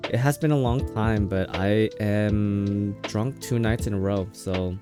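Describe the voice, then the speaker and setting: Male streamer, lowish voice, tired, casual, rambling chat with playful teasing, game music clearly behind. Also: a little slow.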